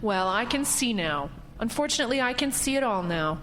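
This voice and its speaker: A woman's voice: a little high, expressive, brisk, a bit bright, a little hiss or hum, low-quality recording. A woman playing an earnest, persistent real estate agent in an audio drama, speaking in a direct, insistent manner. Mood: hurt, bitter.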